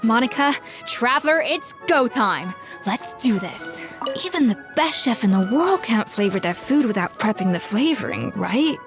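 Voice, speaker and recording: A woman's voice: fairly high pitch, lively intonation, normal speed, long reverb tail, over music. A woman playing a confident, determined young female chef in a fantasy video game.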